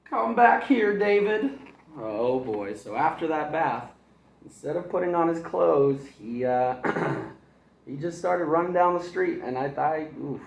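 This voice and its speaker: A man's voice: a little high, unhurried, creaky. A man, a kindergarten teacher, reading a picture book aloud to children with chatty, rambling asides and chuckles. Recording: echoey room.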